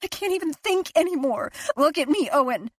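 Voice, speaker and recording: A woman narrator voicing a frightened, guilt-ridden wife in an audiobook, tearful and pleading, her voice climbing toward shouts. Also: a little high, moderate pace, slight background noise, pitched above the performer's own voice, close to an everyday voice. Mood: panicked. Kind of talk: audiobook character voice.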